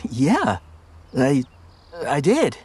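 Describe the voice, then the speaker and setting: A man's voice: medium pitch, wildly swooping pitch, very slow, a little mellow, breathy edge, exaggerated voice. A male actor playing a sheepish, earnest groom and young father in a motion comic, speaking hesitantly. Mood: caught out. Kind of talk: scripted cartoon dialogue.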